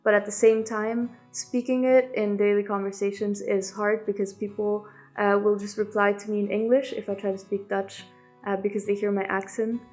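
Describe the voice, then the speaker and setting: A young woman from Italy, a native Italian and German speaker, reflects on life abroad in a mid-range, level voice that barely rises or falls. She is an international student giving a documentary interview. Soft music plays underneath. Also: medium pitch, flat delivery, moderate pace. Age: young adult.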